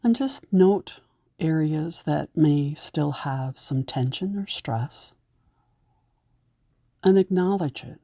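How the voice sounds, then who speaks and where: A woman leading a guided meditation in a gentle, soothing way, giving unhurried instructions. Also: deep voice, dry recording, studio quality.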